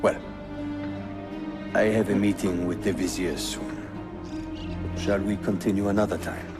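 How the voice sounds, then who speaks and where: An actor voicing a calm, dignified Ottoman prince and uncle in a video game, measured and courteous. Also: deep voice. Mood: grave, confiding. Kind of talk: dramatic video game cutscene acting. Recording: music behind the voice.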